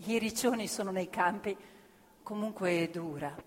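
An actress playing a poor but proud worker's wife in a stage play, speaking plainly with dry understatement. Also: female voice, mid-range voice, normal speed, pitched below the performer's own voice, close to an everyday voice. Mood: wry. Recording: live theatre with long reverb.

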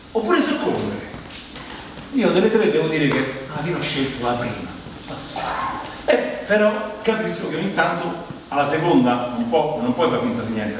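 A middle-aged man with a Roman Italian accent performing a humorous, conversational stage monologue, rambling and animated as he tells stories and addresses his listeners. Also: a little high. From Rome, Italy. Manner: animated, rambling, acts out quoted dialogue, addresses the audience. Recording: very echoey hall, distant mic, clear background noise.

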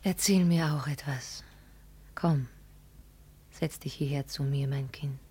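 An actress playing a proud but worried grown daughter in a German radio play, earnest and questioning. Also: female voice, rumbling low, fairly even intonation, slow pace, a little mellow, breathy edge, full character voice. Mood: curious, subdued.

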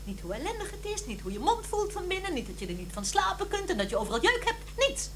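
A sharp-tongued, exasperated wife in a radio play. Her high voice moves up and down a lot as she nags with dry sarcasm. There is faint hum and noise on the recording. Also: very animated, fast talker. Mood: firm, threatening. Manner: nagging, sardonic.

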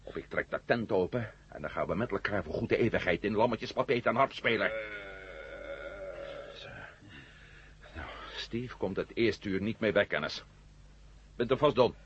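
An actor in a 1970 radio drama playing a defiant, wisecracking space adventurer, with a raspy voice and a sardonic, blustering manner. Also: male voice, on the low side, expressive, moderate pace, long pauses, a bit bright, a little hiss or hum. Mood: triumphant, brisk. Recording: muffled old radio recording.

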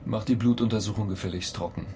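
Radio drama: male actor as stubborn astronaut trainee, low-leaning voice, grumbling and blunt, with clear noise behind him. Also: quick pace, mellow, lightly acted. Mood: grumpy. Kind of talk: radio play acting.

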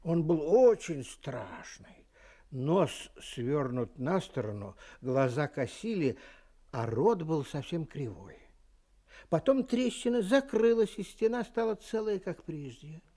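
A man's voice: fairly high pitch, lively intonation, slow pace, warm tone. A man narrating a fairy tale expressively as a storyteller.

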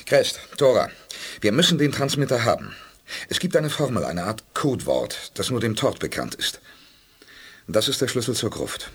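A man playing a determined, persuasive space commander in a radio play, insistent, with a husky voice. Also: medium pitch, very animated, moderate pace, exaggerated voice. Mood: urgent.

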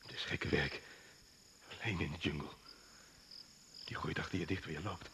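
A man's voice: rumbling low, fairly even intonation, moderate pace, fairly bright tone. An actor playing a frightened, resentful man muttering to himself in a radio drama, on a noisy old recording. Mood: panicked. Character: frightened, resentful man lost alone in the jungle.

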